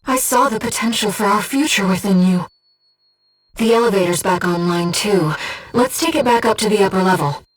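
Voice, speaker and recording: An actress playing a gentle, devoted female voice in a pilot's head, a composed and precise mission guide in a video game. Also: mid-range voice, animated, moderate pace, far from the mic, faint music behind, natural voice, low-quality recording. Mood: earnest, pleading. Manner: composed, precise, earnest delivery. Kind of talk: video game voice acting, tactical briefing.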